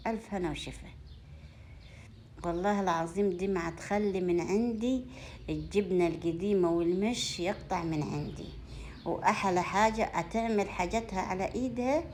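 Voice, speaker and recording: A woman's voice: on the low side, a little mellow, slight background noise. A woman from Upper Egypt with a Sa'idi accent, chatting casually to her viewers as a home vlogger, repeating phrases and offering effusive blessings and endearments. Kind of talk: casual chat to viewers, showing food gifts.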